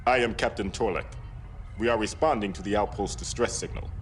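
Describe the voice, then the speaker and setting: An actor playing a young Klingon starship captain in a space game, authoritative and clipped. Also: male voice, fairly low pitch, fairly quick, slight background noise, natural voice. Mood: demanding. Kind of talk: dramatic sci-fi game acting.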